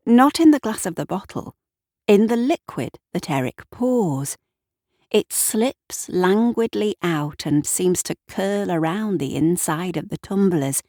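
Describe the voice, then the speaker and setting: A woman reads scripted fiction at a very slow pace. Her voice sits a little low and is recorded dry, and she lingers over her phrases in a hushed, lyrical storytelling manner. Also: no room sound. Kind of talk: scripted dramatic narration.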